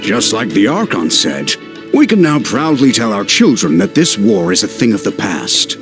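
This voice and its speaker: An actor playing a proud, earnest and hopeful warrior in a video game. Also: male voice, lowish voice, big pitch swings, normal speed, music in the background. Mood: grateful, hopeful.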